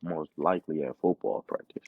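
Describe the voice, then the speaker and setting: A young college football player from Cleveland speaking plainly in short phrases. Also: male voice, extremely low pitch, a little fast, slightly rough, no room sound, phone-quality sound. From Cleveland, Ohio. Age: young adult. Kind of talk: casual podcast chat.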